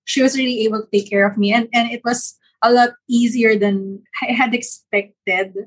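A woman's voice: a little high, fairly even intonation, normal speed, slightly rough, rough recording. A woman in her forties, an interview guest who earnestly recounts a personal story, now and then correcting herself mid-word. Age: 40s. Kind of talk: casual interview chat.

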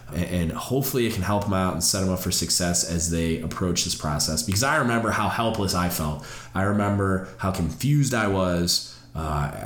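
A man's voice: lowish voice, roomy sound. A man hosting a podcast, sounding nasal and stuffed up from a cold, earnest and encouraging as he talks. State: stuffed up and congested. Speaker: podcast host. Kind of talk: solo mentoring podcast talk.

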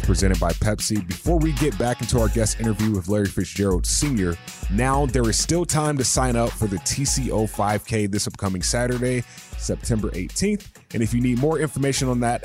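A man's voice: lowish voice, darkish tone. A man hosting a sports radio show, introducing segments and putting questions to a guest.

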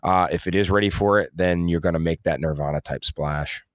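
A man's voice: low-pitched, fairly quick, no room sound, studio quality. A man co-hosting a podcast in casual discussion, explaining things in a rambling, hedging way. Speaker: podcast co-host.

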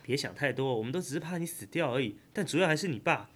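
A man's voice: mid-range voice, animated, fast talker, lightly acted. A man playing a smug, mysterious insurance agent. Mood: calm, unsettling. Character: mysterious young male insurance agent, calm and teasing. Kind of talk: audio drama acting.

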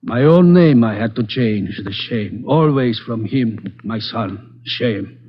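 An actor playing a grieving older father in a 1940s radio drama. He speaks halting, broken English in a grave, slow way. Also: medium pitch, expressive. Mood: grave, bitter. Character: bitter, grieving old father of a criminal. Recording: dull 1940s radio recording.

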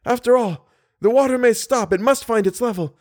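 A terrified drowning man, as a male reader puts him on, cries out in a strained voice pushed far above his normal register. The shouts are loud and frantic, and the dry, close sound keeps every cry right up front. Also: moderate pace, no room sound. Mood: panicked. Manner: desperate high-pitched shouting.

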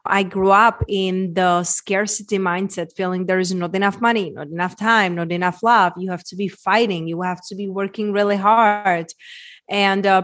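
A woman in her forties with a Czech accent, earnestly telling her personal story as a podcast guest, repeating phrases for emphasis. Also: on the low side. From Czech Republic. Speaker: podcast guest, women's transformation coach.

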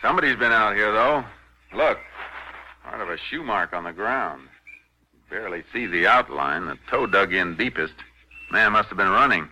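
An actor playing a calm, shrewd Texas Ranger investigator in a 1950s radio western, clipped, deliberate and matter-of-fact. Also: male voice, lowish voice. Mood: brisk, intent. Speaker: lead actor in radio drama. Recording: noisy vintage 1950s radio recording.

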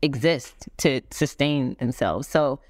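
A woman's voice: very deep voice, slow, darkish tone, no echo, clean studio sound. A middle-aged woman guest speaking earnestly and thoughtfully in a casual interview. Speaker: guest, executive director of a foundation.

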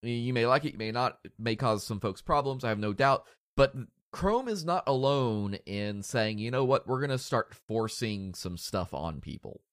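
A man hosting a casual podcast, nasal and stuffy from a cold, talking in a relaxed, rambling way after a few drinks. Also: medium pitch, moderate pace, few pauses, no echo, clean studio sound. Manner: relaxed, rambling, a bit tipsy. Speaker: podcast host.

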